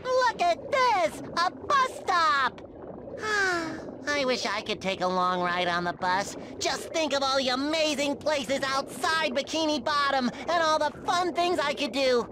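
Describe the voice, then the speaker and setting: A man's voice: very high voice, very animated, unhurried, background noise, exaggerated voice. A man voicing an eager, childlike, cheerful sea sponge for a cartoon game, earnest, exclamatory and over-polite.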